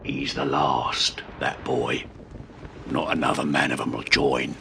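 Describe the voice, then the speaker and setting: A gruff, bloodthirsty pirate crewman in an audio drama. The voice sits extremely deep and crackles with fry, speaking old seafaring dialect over clear background noise. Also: fairly even intonation, measured pace, bright, forceful tone, heavily acted. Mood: grimly amused.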